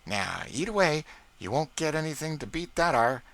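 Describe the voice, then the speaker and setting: A man's voice: on the high side, sing-song, moderate pace, a bit bright, pitched above the performer's own voice. A man voicing a bossy, proud older woman cook who scolds and boasts in thick folksy dialect. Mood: fussing doting. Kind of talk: audiobook character voice.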